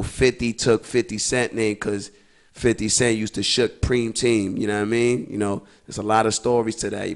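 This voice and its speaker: A young man talks casually as a musician guest in a small studio. His low, gravelly voice holds a flat, even pitch. He mixes English and Spanish with a streetwise ease. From East New York, Brooklyn. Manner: switches between English and Spanish, streetwise, repeats "you know what I mean". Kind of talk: casual interview talk. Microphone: studio mic with headphones.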